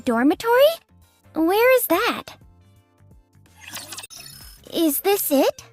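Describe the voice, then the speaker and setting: A woman's voice: very high-pitched, big pitch swings, very slow, faint music behind, heavily acted. A woman voicing a cheerful, eager young android girl in an anime game, perky, naive and earnest. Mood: excited, chirpy.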